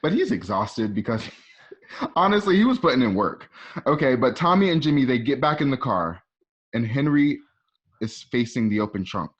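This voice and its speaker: A man's voice: medium pitch, sing-song, moderate pace, lo-fi sound. A man reading stage directions for a radio drama in a conversational way, with casual asides. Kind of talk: informal scripted narration for radio drama.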